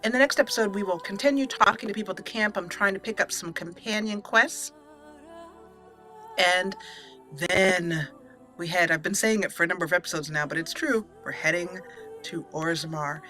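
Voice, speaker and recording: A woman's voice: on the low side, normal speed, sharp tone, faint music behind. A woman hosting a roleplay let's play, chatting expressively and directly to her viewers. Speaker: host of a gaming channel.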